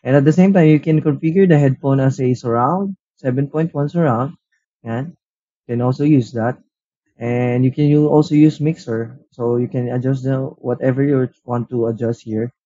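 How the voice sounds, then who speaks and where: A man's voice: mid-range voice, normal speed, muffled recording. A man with a Filipino accent hosting a tech review, talking casually and mixing in Tagalog. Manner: relaxed Taglish code-switching, frequent "uh" and "so". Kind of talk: casual product review and demo. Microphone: headset built-in mic.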